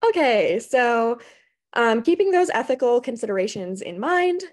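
A young American woman giving an informal, explanatory presentation as an academic librarian on a video call, pausing often with ums. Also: on the high side, big pitch swings. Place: small indoor room on a video call.

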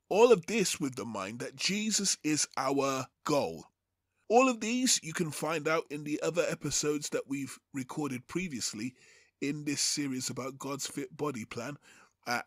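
Measured man teaching the Bible, close dry sound. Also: on the high side, a bit bright, dry recording. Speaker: Bible teacher, host of the series. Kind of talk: Bible teaching over slides, with prayer.

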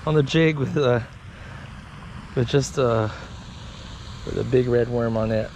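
A man giving casual running commentary to viewers while fishing at a park pond, getting excited over each catch. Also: medium pitch, measured pace, low-quality recording. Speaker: fishing vlogger. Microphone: head-mounted action camera. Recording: outdoor camera audio with noise.

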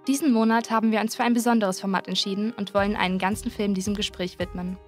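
A woman voices documentary narration with a mid-range pitch and steady, informative delivery. Soft music sits faintly beneath her voice. She reads in a clear, scripted manner. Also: fast talker. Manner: even, informative reading.

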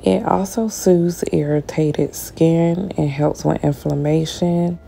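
Low, gravelly, stuffy-voiced woman. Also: steady tone, slow, mellow, a little hiss or hum. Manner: casual, explanatory, addresses viewers directly. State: stuffy, recovering from illness. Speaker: skincare tutorial creator. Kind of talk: voice-over tutorial narration.

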